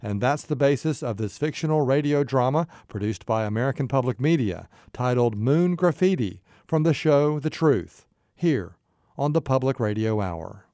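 A man hosting public radio, reading scripted narration in a measured, informative way. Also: mid-range voice, expressive, mellow.

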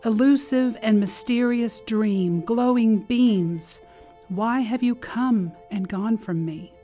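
Woman, very slow delivery, dark mellow tone, poetry recital, mid pitch. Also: faint music behind. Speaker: poet. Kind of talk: reading own poem.

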